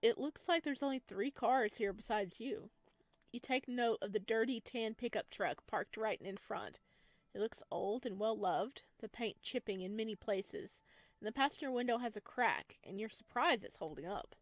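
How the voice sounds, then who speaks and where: A woman reading the text of a horror visual novel aloud at an even pace, stopping for chatty asides and giggles. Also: on the high side, moderate pace, no room sound. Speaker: game streamer.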